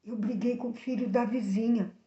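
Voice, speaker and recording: Mulher fazendo um menino triste, falando bem baixinho. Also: female voice, a little high, steady tone, normal speed, lightly acted. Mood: sad, timid. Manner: very quiet, tearful, hesitant. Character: sad, frightened young boy confessing to his father. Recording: echoey room, slightly dull sound.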